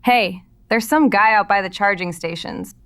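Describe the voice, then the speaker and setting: A woman's voice: mid-range voice, moderate pace, a bit bright, distant mic, close to an everyday voice. A woman playing a blunt, sardonic, foul-mouthed gas station clerk in an audio drama. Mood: uneasy.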